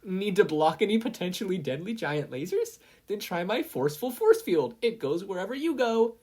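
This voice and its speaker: A narrator voicing a smug teenage girl inventor who pitches in a peppy, taunting way in a story reading. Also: sing-song, normal speed, a little mellow, breathy edge. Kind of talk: podfic character voice.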